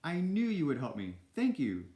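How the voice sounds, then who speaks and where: A man's voice: fairly low pitch, big pitch swings, normal speed, dark tone. A man voicing an eager, grateful little girl from a storybook, exclaiming breathlessly.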